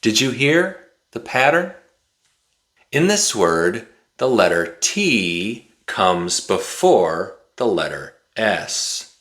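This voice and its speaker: A man in his forties giving an English pronunciation lesson to camera, enunciating deliberately and carefully like a teacher. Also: on the low side, very animated, a bit bright. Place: small indoor room with bookshelf.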